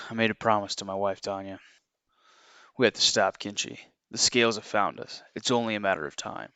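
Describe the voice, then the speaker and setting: A man's voice: on the low side, steady tone, moderate pace, bright, forceful tone, clear, resonant tone, no echo. A man playing an earnest, haunted former assassin confessing his story in an audio drama. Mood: grave, regretful.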